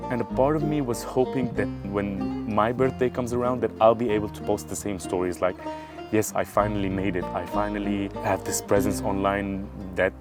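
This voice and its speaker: A man's voice: on the low side, steady tone, normal speed. A thirty-year-old man from Syria, talking earnestly and thoughtfully about his life in a personal vlog, recorded outdoors. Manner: earnest, thoughtful, self-searching. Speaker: vlogger. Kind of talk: reflective personal monologue. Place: outdoors in a small town. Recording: guitar music playing underneath.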